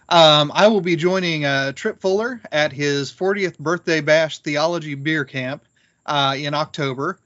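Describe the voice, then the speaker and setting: A man's voice: a little high, fairly bright tone, highs cut off. A man speaking casually to listeners as a podcast co-host. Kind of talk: casual chat and announcements.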